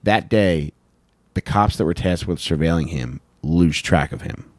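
A man's voice: sits low, unhurried, no room sound. A man in his thirties chatting casually and bluntly as a comedic true-crime podcast co-host, swearing freely, close on a handheld mic. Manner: blunt, profane. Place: small indoor podcast room on couches. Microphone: handheld microphone held close.

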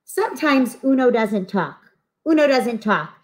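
Young woman, children's read-aloud presenter: lively, expressive, higher pitched, explains as she goes. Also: a little slow. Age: young adult. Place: small room at home, indoors. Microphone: webcam video call mic.

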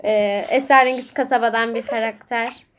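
A woman's voice: a little high, fairly even intonation, moderate pace, a little hiss or hum. A woman chatting casually as she hosts a homemade quiz game and speaks to viewers. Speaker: vlog host quizzing a child.

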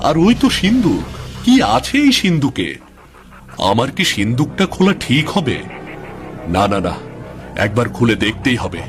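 A man's voice: medium pitch, big pitch swings, moderate pace, pauses often, soft background music, lightly acted. A man playing a poor, earnest village farmer in a cartoon folk tale, plaintive and questioning himself. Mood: bewildered, hesitant. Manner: exclamatory, self-questioning, plaintive. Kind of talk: Bengali animated fairy-tale dialogue.

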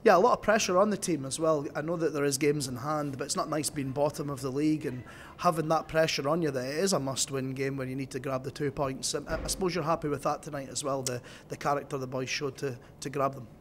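A man's voice: a little high, fast, a little hiss or hum. A man interviewing a coach after a game for club TV, asking conversational, leading questions.

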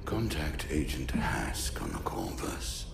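Gravelly, cold Imperial admiral. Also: male voice, a bass voice, wildly swooping pitch, slow pace, heavily acted. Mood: cold, commanding. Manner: clipped, commanding. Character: stern, authoritarian older Imperial admiral, cold father. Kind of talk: dramatic video game acting. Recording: echoey room under loud music.

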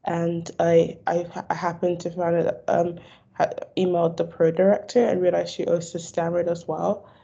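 Gravelly low-voiced young woman who stammers. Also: level tone, moderate pace, warm tone. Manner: stammers, repeats words and restarts. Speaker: stammering awareness advocate. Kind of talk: video call interview. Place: indoor room. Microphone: video call. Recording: dull lo-fi video call audio.

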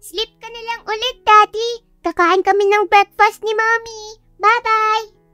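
Squeaky, pouty little Filipino girl. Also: flat delivery, measured pace, pushed and bright, dry recording, soft background music, full character voice. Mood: sweet, bossy. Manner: childish, simple broken Taglish. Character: pouty, clingy little girl scolding her daddy.

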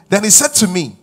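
Animated preaching man with husky voice. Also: high voice. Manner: animated preaching, rising loud on key points, asking the crowd questions. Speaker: pastor. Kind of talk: sermon. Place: large church stage with live audience.

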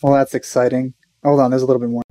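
A young man muses casually as he hosts a reaction video. His voice is dark and mellow, recorded very dry with faint music behind it. He comes across as laid-back. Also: medium pitch, little pitch movement, rapid-fire, dry recording. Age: young adult.

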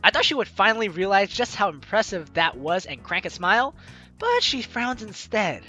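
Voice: mid-range voice, big pitch swings, moderate pace, bright, forceful tone, breathy edge. A let's play host reading visual novel lines aloud and reacting in an exclaiming, giggly way. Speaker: gaming video host. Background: game music.

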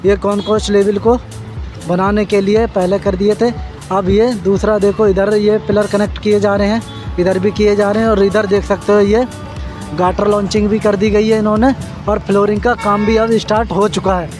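A man's voice: sits high, flat delivery, normal speed, a little mellow, soft background music. A man casually vlogging outdoors beside a busy road, pointing things out and talking straight to his viewers on a handheld phone. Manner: repetitive, addresses viewers as friends, points things out. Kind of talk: casual walking construction-site vlog.

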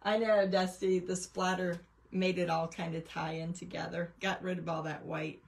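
A woman's voice: fairly low pitch, level tone, normal speed, vocal fry. A woman chatting casually as she hosts a craft livestream, rambling through a show-and-tell of her painting work. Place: indoors at a craft table.

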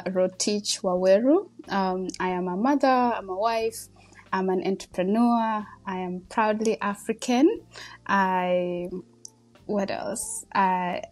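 Chatty Kenyan woman telling her story. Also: medium pitch. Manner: warm, humble, chatty with frequent fillers and laughs. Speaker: podcast guest. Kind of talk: casual interview storytelling.

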